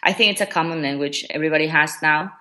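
A woman's voice: low voice, fast talker, sharp tone. Ecuador-born woman, hesitant interview answers. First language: Spanish. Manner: hesitant, many ums and restarts. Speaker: guest, education coordinator.

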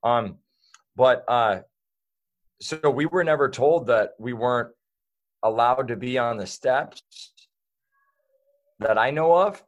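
A man's voice: medium pitch, takes it slowly, pauses often. A man talking as a guest in a casual interview, rambling with lots of fillers and restarts.

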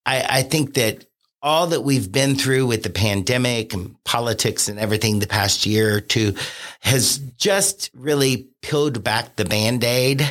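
Earnest, measured man in conversation. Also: medium pitch, fairly bright tone. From Cincinnati area. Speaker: podcast guest, consultant and franchisee. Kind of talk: conversational interview.

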